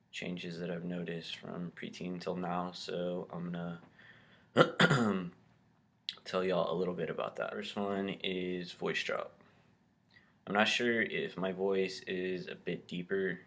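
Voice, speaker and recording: A man's voice: deep voice, vocal fry, a bit bright. A young man talking casually to his camera in a vlog, rambling with lots of ums and likes. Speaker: vlogger.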